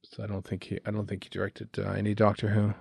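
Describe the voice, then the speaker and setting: Low-voiced man chatting in a dry room. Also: monotone, fast, creaky, a little mellow, husky, dry recording. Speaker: podcast co-host. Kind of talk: casual film commentary chat.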